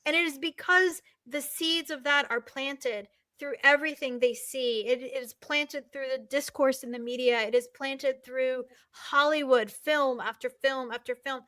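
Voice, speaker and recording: High-voiced woman guest, intense and emphatic, dry close mic, from palestine. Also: fairly even intonation, measured pace, hardly any pauses, dry recording. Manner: forceful, emphatic, repeats words for emphasis. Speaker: guest, novelist and activist. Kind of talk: impassioned interview talk.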